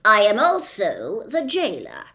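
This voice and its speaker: Lady doing a tiny angry cop from a kids book, loud n bossy, slow drawn-out words, voice swooping. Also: medium pitch, huge pitch swings, heavily acted. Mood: pompous. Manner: blustering, accusing, loud and emphatic. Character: fierce, tiny, pompous male policeman, judge and jailer. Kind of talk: storybook character voice.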